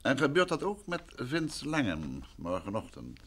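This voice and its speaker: A man's voice: mid-range voice, wildly swooping pitch, unhurried, fairly bright tone, breathy edge, heavily acted. An actor playing a composed, probing male detective in a Dutch radio drama. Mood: composed, inquiring. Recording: old radio play, background noise.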